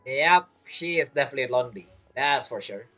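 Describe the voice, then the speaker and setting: A streamer comments casually on a game story. The delivery is informal and amused, with very animated intonation. Game music runs softly in the background. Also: medium pitch, brisk. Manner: informal, amused, non-standard grammar.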